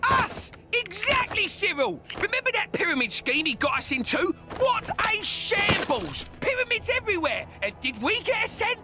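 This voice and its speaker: A man's voice: very high voice, sing-song, normal speed, hardly any pauses. An actor playing a grumbling, indignant male henchman in a comic video game, exasperated and chatty. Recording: loud music under the voice.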